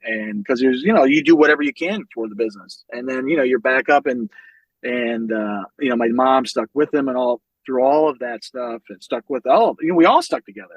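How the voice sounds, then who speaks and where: A man in his forties from the American Midwest, a podcast guest telling family stories in an animated, loose way, swearing freely and laughing as he talks. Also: medium pitch, dry recording, muffled recording. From United States, Northwest Indiana. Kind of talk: casual storytelling conversation.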